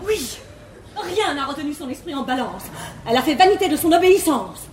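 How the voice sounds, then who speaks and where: An actress playing a haughty, jealous, prudish young bluestocking in classical French verse comedy, speaking in an affected, declamatory way. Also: female voice, sits high, very animated, fairly quick. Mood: tattling indignation. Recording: echoey 1950s theatre recording, faint noise.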